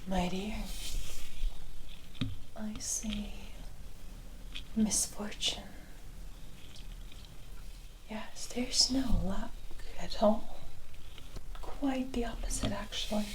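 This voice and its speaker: A woman playing a mysterious, soothing fortune-telling forest witch in an ASMR roleplay, speaking in a breathy whisper with deliberate, drawn-out phrasing. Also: mid-range voice, background noise. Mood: mysterious, grave. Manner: slow, deliberate, drawn-out phrasing with incantation-like repetition.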